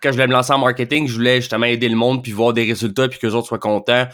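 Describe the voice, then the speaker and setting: A man with a Québécois French accent hosting a podcast, asking rambling, relaxed questions with many restarts. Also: mid-range voice, racing. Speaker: podcast host. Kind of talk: casual podcast interview.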